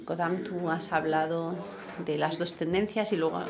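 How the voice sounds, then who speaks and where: A man's voice: fairly high pitch, steady tone, normal speed, vocal fry, crowd noise. A middle-aged man moderating a panel in a large event hall, summarizing each speaker in a conversational way with small fillers, into a handheld mic. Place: large indoor event hall with seated audience.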